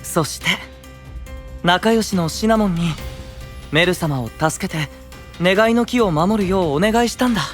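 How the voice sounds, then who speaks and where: An actor playing a gentle, caring young male knight, soft and earnest, in a fantasy voice drama. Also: high-pitched, a little slow, long pauses, soft background music. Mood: soft, thoughtful.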